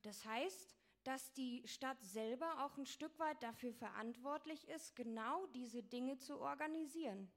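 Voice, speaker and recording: A young woman presents a talk with slides, explaining her points step by step. Her voice sits a little high, and she keeps talking fluently while dropping in fillers and restarting words. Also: unhurried, few pauses. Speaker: speaker giving a talk.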